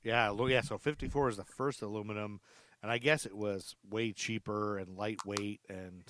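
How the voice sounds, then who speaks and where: A man's voice: on the low side, steady tone, moderate pace, a bit bright, no room sound. Chatty man hosting a podcast. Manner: chatty, laughs often, rambling asides. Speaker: podcast host. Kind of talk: casual podcast chat. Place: lives in Charlotte.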